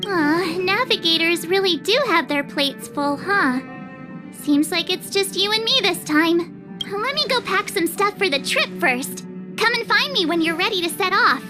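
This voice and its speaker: A woman's voice: extremely high pitch, measured pace. A woman voicing a chatty, cheerful, teasing teenage girl traveler in a video game cutscene. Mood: playful, teasing. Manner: chatty, expressive, playful. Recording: game music behind the voice.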